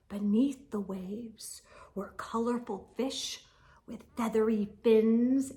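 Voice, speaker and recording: A woman storyteller reading a children's picture book aloud in a dramatic, expressive way for young listeners. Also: mid-range voice, creaky. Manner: dramatic, drops into whispers, addresses young listeners.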